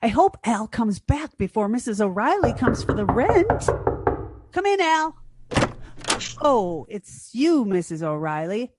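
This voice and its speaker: A woman's voice: a little high, very animated, unhurried, very noisy. A woman playing a naive, nervous young woman in 1940s radio comedy style, hesitant and stammering. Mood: anxious.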